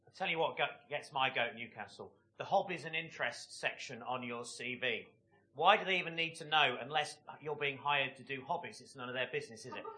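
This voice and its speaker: A man performing a stand-up comedy routine in a comedy club, with dry, deadpan jokes. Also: medium pitch, a little fast.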